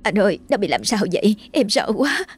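A woman voicing a frightened young mother, with a high, quavering and pleading voice. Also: lively intonation, quick pace, darkish tone, husky. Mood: panicked.

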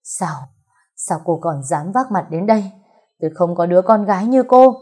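Husky middle-aged mother acted by a female narrator, furious, accusatory, unforgiving, mellow timbre, audio storybook. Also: lowish voice, animated, measured pace. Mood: shocked anger. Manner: scolding, accusatory outbursts.

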